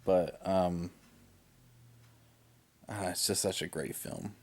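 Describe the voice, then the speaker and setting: A man's voice: low-pitched, steady tone, slow pace, a little mellow. A young man chatting casually in a vlog, rambling and hesitant with lots of fillers, while sick and getting over a lost voice. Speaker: vlogger.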